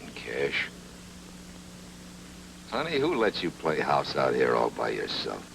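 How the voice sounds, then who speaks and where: An actor playing a tough, sardonic private investigator in a noir radio drama, talking in terse slangy patter. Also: male voice, a bass voice, wildly swooping pitch, takes it slowly, gravelly, fairly bright tone, a little hiss or hum, full character voice, rough recording. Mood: wry, probing.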